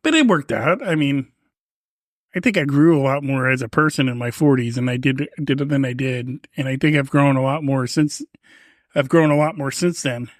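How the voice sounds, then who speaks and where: A man's voice: medium pitch, normal speed, no room sound, clean studio sound. A man in his fifties co-hosting a podcast, talking in a laid-back, rambling way, swearing often and restarting his sentences. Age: 50s. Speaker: podcast co-host. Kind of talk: casual chat.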